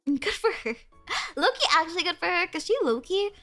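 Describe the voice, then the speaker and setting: A woman's voice: very high-pitched, big pitch swings, fairly quick, pushed and bright, soft background music. A young woman streaming and chatting casually with her viewers, bubbly and giggly, full of "like" fillers, with a stuffy, sniffly nose.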